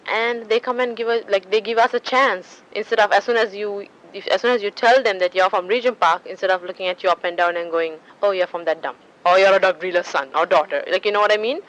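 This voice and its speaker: A woman's voice: medium pitch, highs cut off. A woman in her twenties from Sri Lanka, chatting casually about her life in an interview, with frequent restarts and fillers. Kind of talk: casual personal storytelling.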